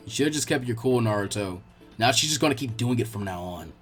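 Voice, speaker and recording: A man voicing a lazy, unmotivated teenage boy ninja with a bland, deadpan manner, in a story reading. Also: medium pitch, brisk, faint music behind, voice pushed down, natural voice. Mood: dry.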